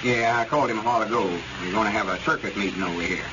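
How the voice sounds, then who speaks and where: An actor playing a worried, self-important old country store owner in folksy rural dialect, in 1930s radio comedy style. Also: male voice, lowish voice, very fast, close to an everyday voice. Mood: explaining. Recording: muffled, noisy old radio recording.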